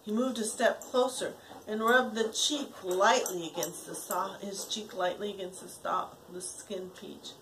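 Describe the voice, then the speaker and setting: A woman's voice: mid-range voice, unhurried, rough recording. An older woman, a grandmother, reads a children's story aloud expressively, dramatizing it and checking in with her young listeners. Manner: dramatic, with gasps, hushed passages and questions to listeners. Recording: home recording with steady background noise.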